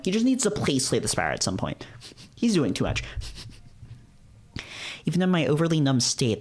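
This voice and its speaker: A man reading visual novels aloud and chatting casually as a streamer, often repeating himself in informal slang. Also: medium pitch. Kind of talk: casual gaming chat and read-aloud narration.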